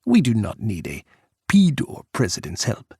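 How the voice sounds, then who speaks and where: Casting: male narrator doing a gruff, gravelly Russian head of state, arrogant and dismissive, dry close sound. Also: lowish voice, wildly swooping pitch, normal speed, darkish tone, dry recording, exaggerated voice. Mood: scornful. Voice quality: rough, grating.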